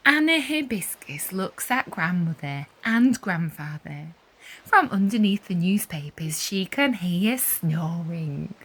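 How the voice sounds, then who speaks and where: A woman reading a children's story aloud expressively, with deliberate emphasis and animated storytelling. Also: fairly low pitch, breathy edge. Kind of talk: expressive read-aloud narration for young children.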